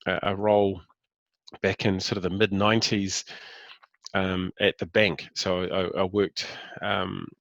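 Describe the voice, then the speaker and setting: A man's voice: low voice, level tone, unhurried, creaky, a bit bright, dry recording, lo-fi sound. A man in his fifties with a New Zealand accent, talking about himself in a casual interview, hesitant with many fillers and restarts. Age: 50s. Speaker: interview guest.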